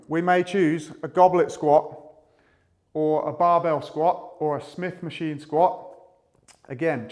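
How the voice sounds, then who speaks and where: A man in his thirties speaking as a fitness coach, explaining in a measured, methodical way to camera. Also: on the high side, darkish tone. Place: small indoor room with a whiteboard.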